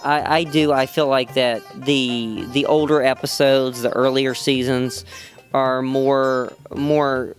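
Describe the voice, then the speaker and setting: A man's voice: medium pitch, flat delivery, takes it slowly. A man from West Virginia, an actor, answering interview questions at a fan convention in a rambling way full of "you know" fillers and restarts. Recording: music loud behind the voice. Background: music playing.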